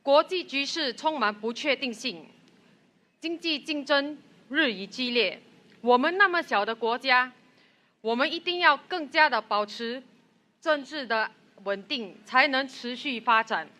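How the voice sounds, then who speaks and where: High, bright woman at a rally. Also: expressive, measured pace. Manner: earnest, rousing. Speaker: rally speaker. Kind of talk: political rally speech. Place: outdoor rally with loudspeakers.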